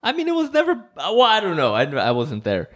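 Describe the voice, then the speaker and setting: A man from Mexico hosting a podcast, chatting casually and rambling with many "like"s and animated quoted voices. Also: high voice, brisk. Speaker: podcast host. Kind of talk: casual chat.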